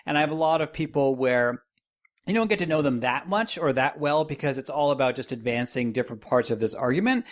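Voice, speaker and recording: A man with a mid-pitched voice chats informally on a podcast. He pauses and restarts as he searches for the right words. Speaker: podcast host as interview guest. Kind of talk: casual podcast panel chat.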